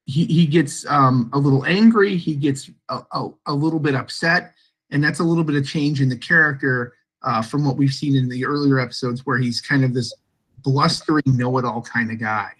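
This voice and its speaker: A man's voice: medium pitch, moderate pace, fairly bright tone, husky. A man hosting a podcast, interviewing guests in a hesitant way and often repeating words. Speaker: podcast host.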